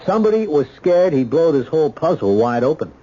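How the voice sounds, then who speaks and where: An American actor in a radio mystery playing a measured, skeptical police lieutenant who talks in a hesitant, conversational way. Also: male voice, a little high, sing-song, dark tone. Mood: reasoning. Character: measured, skeptical male police ballistics lieutenant, helpful underneath. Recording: dull, noisy old radio broadcast.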